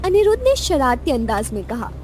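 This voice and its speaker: A woman narrating a scripted romantic story as an expressive storyteller. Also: sits high, brisk, slight background noise.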